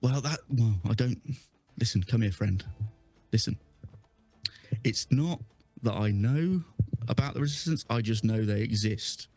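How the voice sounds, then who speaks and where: A man's voice: medium pitch, measured pace, pauses often, a little mellow, soft background music. A man voicing a nervous male carpenter who stammers and rambles evasively.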